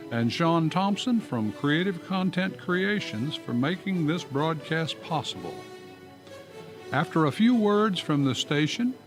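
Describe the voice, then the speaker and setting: A theatrical man announces in old-time radio style. He takes his time, with very lively intonation and a little music behind him. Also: on the high side.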